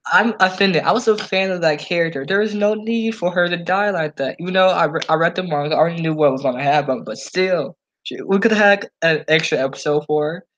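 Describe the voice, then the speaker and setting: A man's voice: a little high, fast, fairly bright tone, lo-fi sound. A man co-hosting a podcast, chatting casually as a fan in a slangy, emphatic way. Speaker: podcast co-host.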